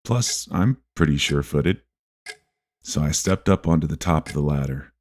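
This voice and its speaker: Male horror story narrator, rumbling bass pitch, soft dark timbre, deliberate pacing with pauses, scripted first-person storytelling. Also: lively intonation, slight background noise.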